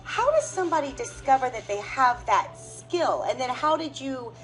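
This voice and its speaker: A woman co-hosting a morning talk show, bubbly and quick to react. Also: sits high, expressive, fairly bright tone, faint music behind, poor audio.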